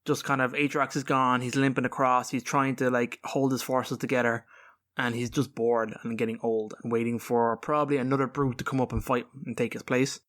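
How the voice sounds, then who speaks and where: A man with a medium-pitched voice talks as a podcast co-host. He explains video game lore casually, in an informal and rambling style. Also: flat delivery, a little fast, a bit bright.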